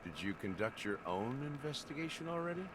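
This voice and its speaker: A man's voice: mid-range voice, sing-song, a little fast, noisy background, natural voice. A man playing a wry, world-weary, self-deprecating older investigator in a video game.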